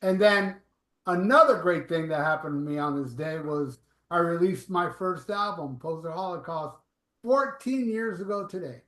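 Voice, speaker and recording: A sixty-year-old man joking his way through a relaxed podcast chat. His voice sits high for a man. The recording has a roomy echo around him. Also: normal speed. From South Florida. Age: 60s. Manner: joking, teasing banter. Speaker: podcast co-host. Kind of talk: casual chat.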